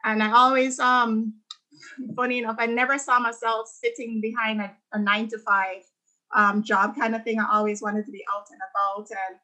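Podcast guest: woman in her thirties from Jamaica, bright voice, relaxed talker with lots of fillers. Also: fairly high pitch, moderate pace, poor audio. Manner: chatty, frequent um fillers, laughs often. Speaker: interview guest, architect. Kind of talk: casual interview conversation.

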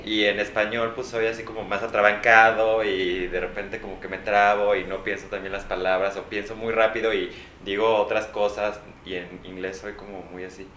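A man co-hosting a casual comedic chat, joking and interjecting quickly. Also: on the low side, level tone, bright, forceful tone. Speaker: co-host. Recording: echoey room with faint noise.

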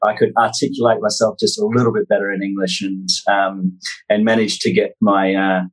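A middle-aged Australian man with a fairly low, rough-edged voice shares casual personal stories. He talks haltingly, circling back on his words, and pokes gentle fun at himself as he goes. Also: flat delivery, rough recording. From North Queensland, Australia. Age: 40s. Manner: halting, stop-start, self-deprecating. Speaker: interview guest. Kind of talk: casual anecdotal storytelling.

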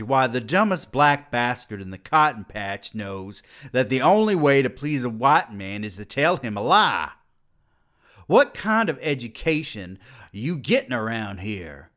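A man voicing an older male college president who mocks and then shouts in outrage. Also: medium pitch. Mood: scornful.

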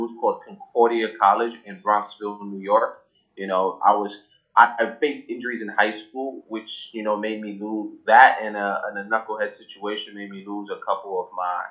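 A man's voice: on the low side, fairly bright tone, lo-fi sound. A young man from Cameroon, a guest in a casual interview, talking loosely with many fillers and restarts. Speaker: guest, app founder.

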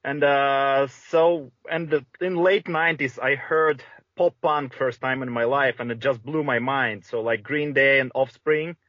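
A man's voice: medium pitch, fairly even intonation, a little slow, fairly bright tone, no echo. A man from Moscow, a band guest, steadily telling his story in a casual interview with some fillers. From Moscow, Russia. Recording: dry muffled recording.

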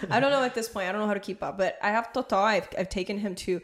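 Animated woman with a lilt. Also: mid-range voice, very noisy. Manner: animated, laughs easily, quick bursts. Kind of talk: casual conversation.

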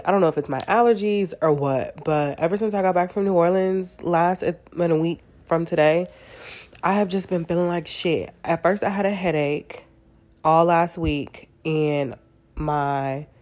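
A young woman with a low voice chats through her solo podcast, stuffed up and nasal from a cold. She talks candidly and casually, cursing freely as she goes. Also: fairly even intonation, unhurried, darkish tone. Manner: candid, chatty, swears freely. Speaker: podcast host.

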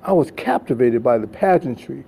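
A man's voice: medium pitch, expressive, normal speed, creaky, dark tone, breathy edge, poor audio. An older man, an author, reading his own story aloud as a dramatic first-person narration.

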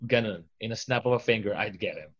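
A man's voice: fairly low pitch, quick pace, fairly bright tone, highs cut off. A Filipino man who works as a voice-over artist, chatting casually in an interview and mixing Tagalog with English, full of fillers and restarts. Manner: talkative, with many fillers and restarts.

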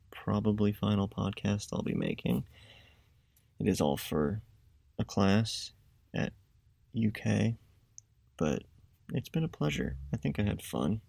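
A young man, a student making a class podcast, telling a travel story in a casual, self-described monotone voice. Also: fairly low pitch, creaky, breathy edge, dry recording. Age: young adult.

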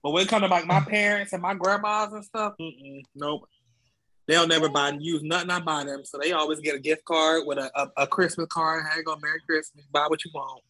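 A young American man chats casually as a guest on a podcast. His voice sits high for a man and has a very bright, sharp edge, with an American accent. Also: fairly even intonation, brisk, rough recording. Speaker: podcast guest, voice cast member. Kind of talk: casual chat.

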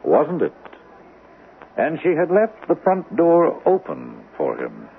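An actor playing a suave, probing doctor with veiled menace in a radio mystery, speaking in a measured, insinuating way with deliberate pauses. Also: male voice, on the high side, huge pitch swings, gravelly, exaggerated voice. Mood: grave, veiled. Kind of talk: old-time radio mystery acting. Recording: tinny band-limited vintage radio, faint hiss.

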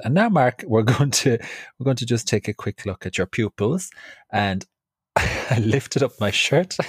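A man's voice: lowish voice, breathy edge, no room sound, clean studio sound. A man with an Irish accent, chatting and telling personal anecdotes as co-host of a podcast. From Ireland. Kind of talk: casual chat and personal anecdote.

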